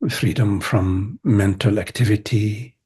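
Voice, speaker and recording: A man leading a guided meditation as a teacher, speaking gently in short phrases with long pauses. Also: fairly low pitch, steady tone, husky. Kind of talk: guided meditation, spiritual talk.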